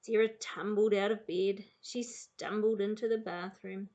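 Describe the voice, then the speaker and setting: A woman teacher narrating a children's story in an expressive read-aloud style. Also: medium pitch, unhurried, dull audio.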